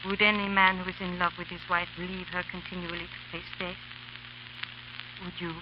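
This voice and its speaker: An actress playing a proud, impassioned young wife of an English lord in 1930s radio drama, pleading and declaiming. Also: female voice, lowish voice, little pitch movement, moderate pace, lightly acted. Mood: earnest. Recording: dull, hissy, crackling 1930s radio recording.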